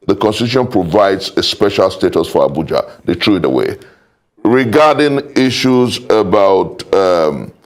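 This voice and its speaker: A man's voice: on the low side, creaky. A Nigerian man giving argumentative political commentary on a panel, emphatic and formal, repeating phrases for weight in legalistic language.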